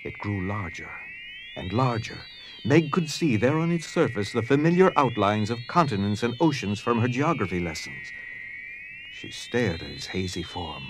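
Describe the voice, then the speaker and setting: A man's voice: lowish voice, lively intonation, moderate pace, background noise, natural voice. A man playing the suspenseful narrator of an audio drama, reading scripted storybook narration. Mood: hushed wonder. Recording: faint music under narration.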